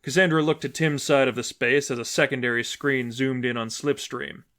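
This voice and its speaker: A man's voice: mid-range voice, steady tone, moderate pace, a bit bright. A man reading as narrator, delivering scripted fiction in a storytelling style.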